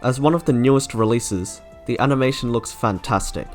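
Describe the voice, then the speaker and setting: A man's voice: medium pitch, normal speed, a little mellow. A man narrating a scripted video game review as an online reviewer. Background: faint music.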